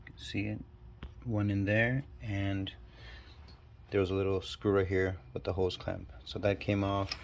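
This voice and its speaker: A man's voice: lowish voice, a little hiss or hum. Stuffy-nosed man talking through car repairs. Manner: talks while working, halting and self-deprecating. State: stuffy, nasal from a cold. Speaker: channel host. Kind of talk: casual DIY garage walkthrough. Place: home garage.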